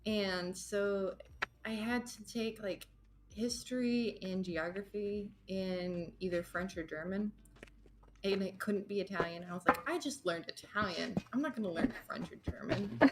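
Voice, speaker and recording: A woman's voice: medium pitch, measured pace, slight background noise, rough recording. A woman from Texas with a slight lisp, telling rambling stories in a casual chat and correcting herself as she goes. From Texas, United States. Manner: rambling, self-correcting storyteller. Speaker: guest.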